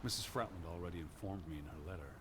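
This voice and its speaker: Low-voiced, bookish American scholar played by an actor. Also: male voice, natural voice. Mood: pondering. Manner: proper, measured, earnest. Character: earnest, bookish, cautious American male scholar of the 1920s. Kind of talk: naturalistic video game voice acting. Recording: clear background ambience noise.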